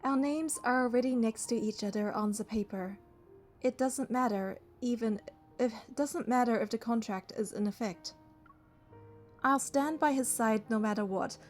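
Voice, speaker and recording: A woman's voice: a little high, moderate pace, natural voice. A woman voicing a young romance game heroine, narrating and speaking in a composed, scripted way. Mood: composed, tender. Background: music.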